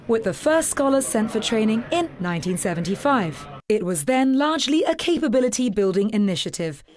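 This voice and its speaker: A woman giving scripted documentary narration in a measured, formal way. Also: on the high side.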